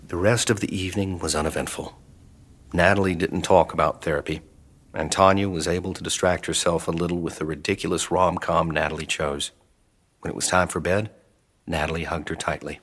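An actor playing the ominous narrator of a supernatural horror audio drama, speaking in a measured, suspenseful, hushed way. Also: male voice, low-pitched, fairly even intonation. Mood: calm. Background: music.

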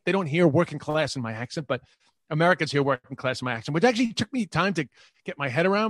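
New york guy, kinda high voice, rambles and restarts a lot, dead dry sound. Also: a bit bright, no room sound. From New York, Queens. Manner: rambling, repeats words and restarts. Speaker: comedian guest. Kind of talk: casual interview chat.

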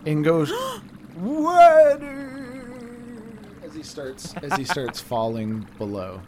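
A man's voice: on the high side, very animated, slow, a little mellow. A man playing a tabletop game, describing his character's moves in a loose, casual, playful way. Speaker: player. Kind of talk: casual tabletop roleplay chat. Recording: faint background noise.

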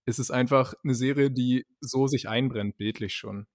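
A man's voice: mid-range voice, fairly even intonation, moderate pace, no echo. Dry-sounding, thoughtful podcast man. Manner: thoughtful, hesitant, with fillers and laughter. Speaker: podcast co-host. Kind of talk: casual chat reviewing a series.

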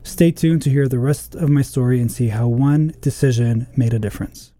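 A man's voice: mid-range voice, normal speed, warm tone. A man from Lebanon who runs a small restaurant, telling his story in an earnest, scripted ad testimonial. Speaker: small business owner in an ad.

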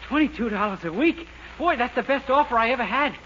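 Very high-voiced boyish young clerk. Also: male voice, animated, fast. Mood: timid, amazed. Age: young adult. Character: naive, timid young shoe clerk turned brashly rude. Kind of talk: 1940s radio comedy acting. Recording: noisy, muffled old radio recording.

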